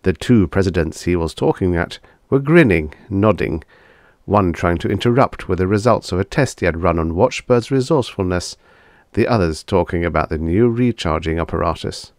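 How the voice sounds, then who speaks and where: Low-pitched man narrating vintage science fiction. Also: animated, normal speed, a little mellow, no echo, studio quality. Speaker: audiobook narrator.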